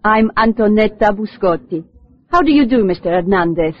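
A woman's voice: mid-range voice, animated, moderate pace, no echo. A woman voicing a polite receptionist welcoming guests in a scripted course dialogue. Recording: dull lo-fi dry tape recording.

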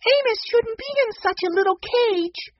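A man voicing an excitable, pleading young boy in a storybook reading, speaking with urgent earnestness. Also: extremely high pitch, normal speed, no echo, highs cut off, pitched above the performer's own voice.

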